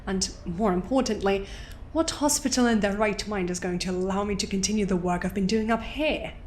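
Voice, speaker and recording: A woman's voice: medium pitch, a little fast, slight background noise, natural voice. A woman playing an unrepentant, smugly amused exiled doctor in an audio drama, articulate and theatrical with a sardonic edge. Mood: scornful amusement.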